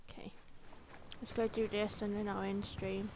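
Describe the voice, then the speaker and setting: A woman's voice: medium pitch, flat delivery, moderate pace, noisy background. A woman giving casual live game-stream commentary, playfully repeating her words as she reacts.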